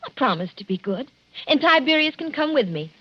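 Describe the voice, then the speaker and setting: A woman's voice: sits high, big pitch swings, brisk. An actress playing a nervous but playful young bride, gracious and breezy over her jitters, in 1940s radio drama style. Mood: gracious, light. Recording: dull, noisy 1940s radio recording.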